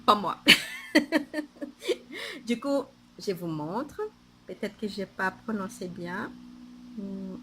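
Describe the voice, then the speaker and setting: A woman's voice: mid-range voice, expressive, extremely slow, long pauses, pushed and bright, a little hiss or hum. A woman with a Portuguese accent, a native Portuguese speaker, chatting casually on her knitting podcast, hesitant, with many fillers and restarts.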